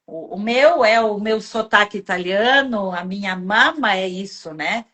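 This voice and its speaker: Uma mulher com sotaque do sul do Brasil, apresentadora de uma live, conversando de forma informal e falante, com recomeços e interjeições. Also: female voice, mid-range voice, unhurried, sharp tone. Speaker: host. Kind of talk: casual chat. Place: home kitchen livestream.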